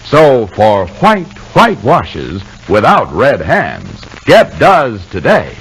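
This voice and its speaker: A man's voice: fairly low pitch, wildly swooping pitch, slow, fairly bright tone, slightly rough, rough recording. A man announcing in a 1950s radio style, with a polished, persuasive sales delivery. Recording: vintage 1950 radio broadcast.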